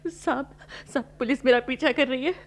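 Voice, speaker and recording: A woman's voice: high voice, normal speed. An actress playing an anguished widowed housewife and mother in a radio play, with a pleading, melodramatic delivery. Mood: desperate pleading. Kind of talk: Hindi radio play acting.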